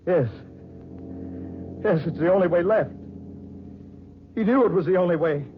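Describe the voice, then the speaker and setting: An actor playing a guilt-ridden, haunted murderer in a halting, anguished old-time radio drama style. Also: male voice, high voice, a little hiss or hum, lightly acted. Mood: dazed despair. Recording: muffled vintage radio recording with music.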